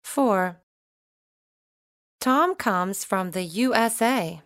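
A woman reading numbered items for a recorded English listening exercise, slowly and carefully enunciated. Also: medium pitch, animated, fairly bright tone, no room sound, studio quality. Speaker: recorded listening test reader.

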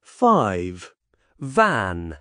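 A woman narrating English textbook audio, announcing unit titles, numbers and instructions slowly and clearly. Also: very deep voice, wildly swooping pitch, fairly bright tone, no room sound, clean studio sound. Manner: slow, clearly enunciated announcing.

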